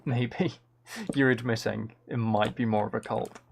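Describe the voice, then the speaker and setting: Gravelly man musing on a live stream. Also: mid-range voice, unhurried, slight background noise. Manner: musing, thinking aloud. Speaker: live streamer playing a building game. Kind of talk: casual live stream chat while playing.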